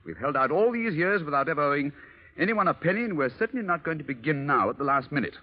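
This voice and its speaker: An actor playing a patronising, thrifty but affectionate husband in vintage radio drama style, fussy and gently scolding. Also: male voice, on the high side, animated, fast. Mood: stern, then indulgent. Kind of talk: 1930s-40s radio drama acting. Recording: dull vintage radio recording.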